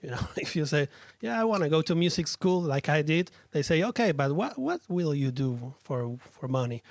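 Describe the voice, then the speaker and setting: A man's voice: a little high, big pitch swings, moderate pace, gravelly, dry recording. A man from Argentina with an Argentinian accent, a Spanish speaker talking as a guest, short on sleep, searching for words and restarting often. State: tired from little sleep. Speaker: guest, musician and streamer. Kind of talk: casual interview chat.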